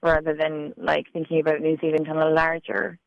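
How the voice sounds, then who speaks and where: A woman's voice: sits low, monotone, fairly quick, vocal fry, no echo, lo-fi sound. A woman with a Cork Irish accent, a poet talking casually in a radio interview, full of "like" and "you know". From Ireland. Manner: chatty, many "like"s and "you know"s. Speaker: poet guest.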